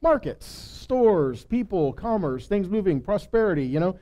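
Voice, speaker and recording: A man's voice: high voice, very animated, unhurried, mellow. A man giving an informal Bible study, retelling stories conversationally, acting out the dialogue and often checking in with a rhetorical "right?". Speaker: Bible teacher leading a weekly Torah study. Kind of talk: informal sermon, Bible teaching with acted-out paraphrase.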